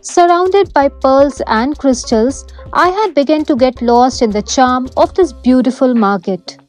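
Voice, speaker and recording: A woman's voice: high-pitched, a little slow, darkish tone, faint music behind, low-quality recording. A young woman with an Indian English accent, presenting a travel vlog from a busy market and narrating over it. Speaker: reporter. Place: busy street market outdoors.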